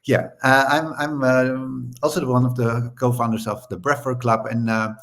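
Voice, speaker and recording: A man's voice: mid-range voice, flat delivery, moderate pace, a bit bright. Hesitant male host. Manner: hesitant, many repeated starts and fillers. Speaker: co-founder and host. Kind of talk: hosting an online talk.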